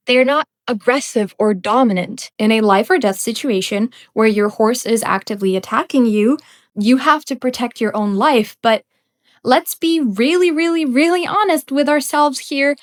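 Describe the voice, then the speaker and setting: A young woman hosting her podcast, ranting bluntly and without a script, her voice a little off from a cold. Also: sits high. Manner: emphatic and blunt, with occasional laughs. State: slightly congested from a cold. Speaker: podcast host. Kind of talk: unscripted ranting commentary.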